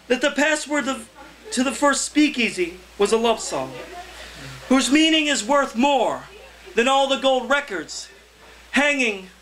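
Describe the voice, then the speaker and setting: A young man, a poet, reading his verse aloud live in a loud, theatrical, impassioned delivery. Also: very high-pitched, slight background noise, low-quality recording. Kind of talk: live poetry reading, declaimed. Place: indoor cafe reading before a small audience.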